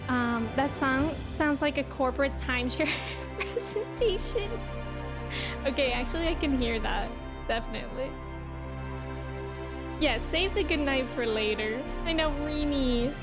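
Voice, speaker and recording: A woman's voice: high-pitched, animated, a little slow. A woman livestreaming a game, chatting casually and reacting to the story in slangy speech. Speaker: game streamer. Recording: music behind the voice. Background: game music.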